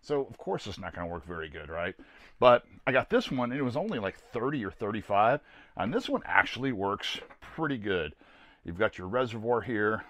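Low-voiced older American man, casual reviewer. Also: very animated, normal speed, a bit bright. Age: older, around 60s. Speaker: host of a camping gear video series. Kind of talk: casual product review to camera. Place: small camper trailer interior.

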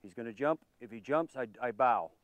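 A middle-aged man hosting a fishing show, commenting with excitement while he fights a fish from a boat on a lake. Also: mid-range voice, a little fast, no echo. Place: outdoors on a lake, in a pontoon boat.

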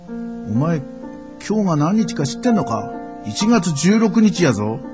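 Exasperated kansai dad in a radio drama, flustered, voice all over the place. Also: male voice, a little high, sing-song, unhurried, music in the background. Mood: flustered, annoyed. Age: middle-aged. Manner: gruff, stammering in disbelief, repeating himself. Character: flustered, exasperated middle-aged working-class father.

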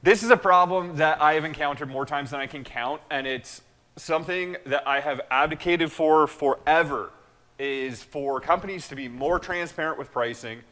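Animated man talking in a machine shop. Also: on the high side, moderate pace. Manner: animated, gestures broadly while talking. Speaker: show host. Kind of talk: casual shop talk and product walkthrough. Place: machine shop floor, large indoor space.

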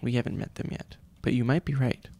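A man's voice: medium pitch, level tone, a little fast, vocal fry, darkish tone. A father reading a children's storybook aloud to his child in an audiobook style.

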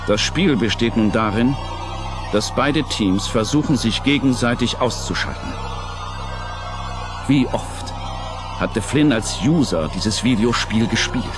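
A man narrating a radio play from a script, suspenseful and dramatic, with emphatic pauses. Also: medium pitch, expressive. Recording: music under the narration.

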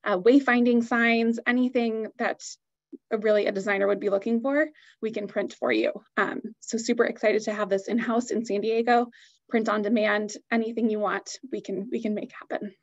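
A woman's voice: fairly high pitch, steady tone, normal speed. Upbeat woman walking through products on a webinar, kinda muffled call audio. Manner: upbeat, enthusiastic. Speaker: product presenter. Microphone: video call.

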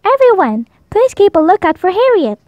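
Lady reading as a kind firm teacher in a kids story, explains things, high animated voice. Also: moderate pace, no room sound, lo-fi sound. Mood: concerned. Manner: clear, instructive. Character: kind but firm female primary school teacher.

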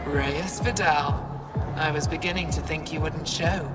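An actress playing a teasing, worldly alien diplomat in a video game, with a playful, knowing manner. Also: female voice, low-pitched, steady tone, a little slow. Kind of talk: video game dialogue acting. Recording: echoing hall, loud music behind.

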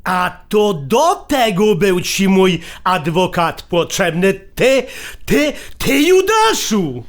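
An actor playing a stubborn, hot-tempered older brother with a Polish highlander accent, indignant and blustering in an audio drama. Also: male voice, sing-song, slow, heavily acted. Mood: outraged, hurt. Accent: Polish highlander (Goral). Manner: indignant, blustering, exclaiming in rural dialect.

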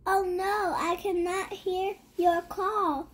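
A child reading a rhyming picture book aloud in a halting way, sounding out and repeating words. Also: very high voice, little pitch movement, fairly bright tone. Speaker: young reader.

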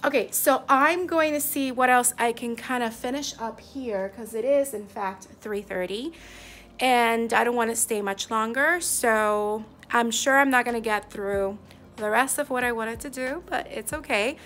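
A woman in her forties talks into a phone at arm's length after school. Her tone is chatty and relaxed, with the easy rhythm of a teacher walking viewers through her room. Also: on the high side, unhurried. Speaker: fourth grade teacher. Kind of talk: casual vlog talk to camera. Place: empty classroom and parked car. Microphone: phone held at arm's length.

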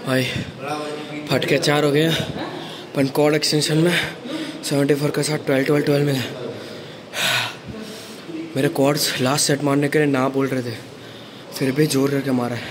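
A man's voice: medium pitch, level tone, moderate pace, long pauses. A young man talking casually to his phone camera in a gym, reporting his sets and weights, a little out of breath. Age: young adult. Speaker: fitness vlogger. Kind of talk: casual workout vlog narration. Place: indoor gym. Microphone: handheld phone camera. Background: loud gym music.

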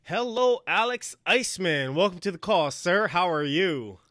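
A chatty male podcast host with a bright, forceful voice, talking easily with a guest. The sound is close and dry, with no room around it. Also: sits high, expressive, measured pace, dry recording. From Hawaii. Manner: friendly, laughing, chatty. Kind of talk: casual interview chat. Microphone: headset.

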